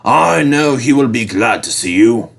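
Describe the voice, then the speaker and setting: A man's voice: mid-range voice, animated, a little slow, vocal fry, slightly rough, full character voice. A man voicing a stern but kindly railway controller in a storybook, formal and authoritative. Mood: regretful, kindly.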